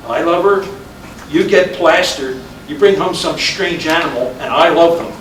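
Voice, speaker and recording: Man acting middle-aged husband, higher-pitched voice, wry, grumbling, plainspoken, stage play, noisy recording. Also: moderate pace, natural voice. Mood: exasperated. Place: indoor live reading at a college. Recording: echoey room from far off, noisy.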